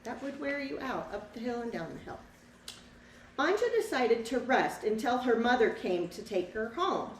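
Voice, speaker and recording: A woman teacher reading a picture book to children expressively, with simple, casual explanations along the way. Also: fairly high pitch. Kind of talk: children's read-aloud with casual asides to the class. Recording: roomy echo, distant mic, faint background noise.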